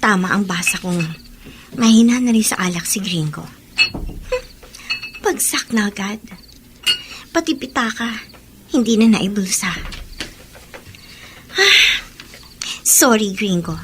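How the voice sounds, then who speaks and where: A woman's voice: mid-range voice, animated, slow, pauses often, noisy background. A woman playing a wary, determined young captive in a Tagalog radio drama. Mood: tense, secretive.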